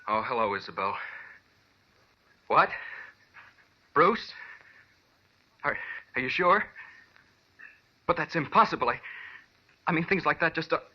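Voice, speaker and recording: A man's voice: on the high side, very animated, a little slow, pauses often. An actor playing a flustered, evasive young man in debt, stammering nervously in a 1940s radio drama. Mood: flustered shock. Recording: dull, lo-fi 1940s radio recording.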